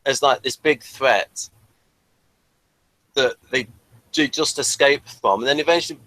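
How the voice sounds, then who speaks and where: A man's voice: mid-range voice, unhurried, pushed and bright, no echo, poor audio. A man hosting a casual podcast review, thinking aloud in a hesitant way. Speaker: podcast host. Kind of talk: casual chat reviewing a TV episode.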